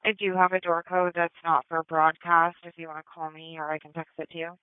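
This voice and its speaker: A female dispatcher reads out calls over a narrow-band police radio. Her voice is clean and smooth, with a very dry sound. She keeps to a clipped, procedural delivery full of unit codes and addresses. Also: sits low, little pitch movement, fairly quick, dry recording. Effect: police radio transmission.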